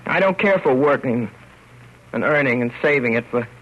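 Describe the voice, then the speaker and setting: An actor playing a lovesick, self-reproaching young working-class American fellow in a slangy, plain-spoken 1930s radio monologue. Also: male voice, medium pitch, expressive, moderate pace, slight background noise, natural voice. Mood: bitter, angry. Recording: muffled old radio broadcast.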